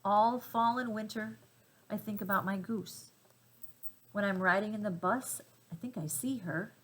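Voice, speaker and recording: A woman reading a children's storybook aloud with gentle, deliberate phrasing and dramatic emphasis. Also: medium pitch, rough recording. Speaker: storybook reader.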